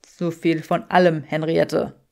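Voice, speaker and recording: A woman reader puts on a haughty, wealthy young gentleman for a story. The voice sits low with a gravelly creak. He is dry, deadpan and drawling. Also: slow, heavily acted. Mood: exasperated.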